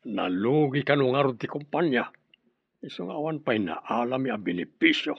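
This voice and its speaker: A man's voice: mid-range voice, animated, moderate pace, creaky, husky, no room sound. A man playing a dutiful, reserved grown son and office worker in a radio drama, with an Ilocano Filipino accent. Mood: earnest, concerned. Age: middle-aged. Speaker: voice actor. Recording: dull lo-fi radio drama audio.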